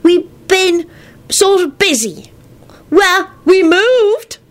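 Woman as a sulky little wizard boy, high-pitched. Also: expressive, drawn-out words, full character voice.

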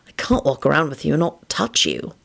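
Storybook romantic hero, played by a female narrator: young male wizard, brooding, earnest, lowish, creaky. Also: huge pitch swings, brisk, exaggerated voice. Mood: worried. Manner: grave, earnest, self-reproaching.

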